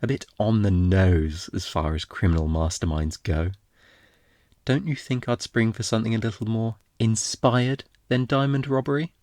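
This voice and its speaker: A man performs a smug, unrepentant thief who flirts shamelessly in a low, deep voice. The delivery is playful and theatrical, stretching words out to tease, in the intimate style of an ASMR roleplay. The sound is dry and close, with no room around it. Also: a little mellow, no echo, lightly acted. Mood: amused, mock-incredulous. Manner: playful, theatrical, drawn-out teasing with breathy murmurs.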